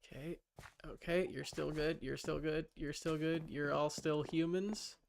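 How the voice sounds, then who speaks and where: A man livestreaming a game in casual chat, rambling and halting as he mutters to himself and answers viewers. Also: a little high, steady tone, creaky, slight background noise.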